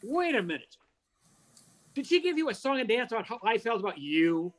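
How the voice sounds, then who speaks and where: A woman's voice: on the high side, very animated, brisk. An older woman playing a wisecracking, scheming male reporter in a radio play, breezy and wry, over a video call. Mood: sly, scheming. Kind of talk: old-time radio play acting.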